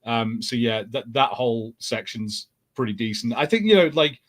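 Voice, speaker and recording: A man's voice: mid-range voice, animated, normal speed, fairly bright tone. A man on a podcast panel, giving his opinions in casual fan chat.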